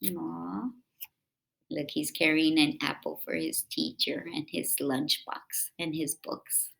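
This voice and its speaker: A woman reading a picture book aloud to young children in an expressive way, with drawn-out emphasis and chatty asides. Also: low-pitched, gravelly, rough recording.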